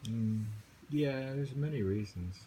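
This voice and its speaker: A man's voice: mid-range voice, slow, mellow, slight background noise, low-quality recording. A man reading poems aloud and musing on them in casual chat, with frequent thoughtful hums. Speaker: poetry reader and host.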